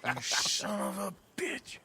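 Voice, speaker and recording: A blunt, folksy American cowboy outlaw in a western game. His voice sits high for a man, bright and lively in pitch. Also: slow, vocal fry, husky, exaggerated voice. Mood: wry skepticism. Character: gruff, plain-spoken male cowboy outlaw. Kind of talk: dramatic video game acting.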